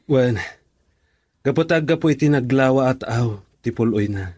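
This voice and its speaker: A man's voice: medium pitch, moderate pace, a little mellow, breathy edge, lightly acted. A young man playing a devoted, tender boyfriend in a radio drama.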